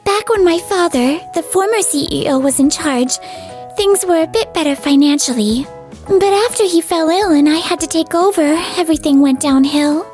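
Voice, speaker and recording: A woman playing an earnest, anxious young factory manager in a manga dub, polite and expressive. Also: high voice, moderate pace, soft background music, lightly acted. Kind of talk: scripted manga dub acting.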